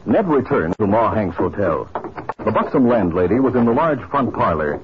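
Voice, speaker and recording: Animated low-voiced radio narrator, a man. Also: moderate pace, noisy background. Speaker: announcer and narrator. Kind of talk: dramatic scripted 1950s radio narration. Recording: muffled vintage radio broadcast.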